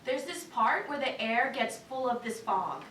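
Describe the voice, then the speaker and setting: A woman's voice: on the high side, expressive, a little slow, crowd noise. A woman playing an eager, awkwardly friendly park employee in a staged reading of a cartoon script. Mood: coaxing. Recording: echoey room, distant mic, faint noise.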